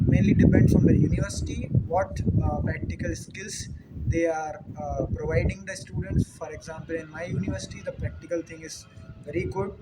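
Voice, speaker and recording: A young man from India, a native Hindi speaker with an Indian accent, explaining things informally in an earnest, slightly halting way. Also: fairly high pitch, very noisy. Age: young adult. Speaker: dairy technologist answering questions. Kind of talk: informal question-and-answer explanation.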